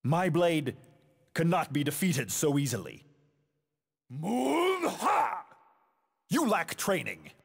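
Casting: menacing, disdainful fighting-game warrior. Husky, hugely animated pitch, grand haughty delivery. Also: male voice, slow pace, long pauses, big-room sound, exaggerated voice. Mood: cold disdain. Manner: haughty, declamatory, dramatic. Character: proud, menacing adult male warrior, disdainful of weaker foes. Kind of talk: video game fighting voice clips, theatrical.

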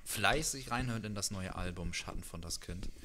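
A man's voice: fairly low pitch, normal speed, noisy background. A man in his thirties hosting a casual, playful interview in an informal, joking way into a handheld microphone indoors. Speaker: interview host. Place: living-room-like music room indoors.

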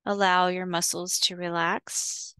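A woman leading a guided visualization in a soothing, instructional way, pausing between short phrases. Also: fairly low pitch, level tone, a bit bright, clear, resonant tone, no echo. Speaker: guided meditation host. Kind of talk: guided visualization, meditation narration.

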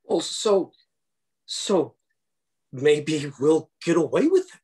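A man playing a breathy, anxious accountant. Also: on the high side, big pitch swings, takes it slowly, mellow, full character voice. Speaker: actor in a comedy sketch. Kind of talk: video-call play reading. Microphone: video call webcam.

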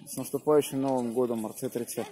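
A man's voice: medium pitch, steady tone, quick pace, background noise. A man in his thirties chatting as an amateur radio operator and spelling out call signs, recorded outdoors on a phone held at arm's length. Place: outdoors in a snowy park at evening. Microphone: phone camera at arm's length.

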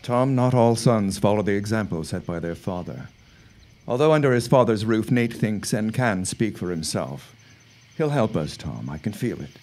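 An actor playing an earnest, trusting 1910s flower grower in a radio drama, with formal, old-fashioned phrasing. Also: male voice, lowish voice, lively intonation, normal speed, darkish tone. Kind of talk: period radio drama acting.

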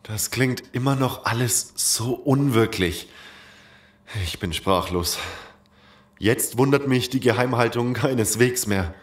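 A man's voice: mid-range voice, slow pace, close to an everyday voice. A man playing a sober, disheartened survivor in a German audio drama. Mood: stunned. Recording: echoey space, faint noise.

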